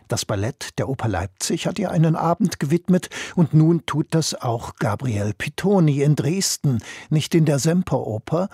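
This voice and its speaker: A man narrates a cultural radio feature in a measured, formal reading. His voice is very husky and breathy, and the studio sound is dry and close. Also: medium pitch, a little mellow, no echo. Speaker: radio reporter. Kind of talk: scripted radio report.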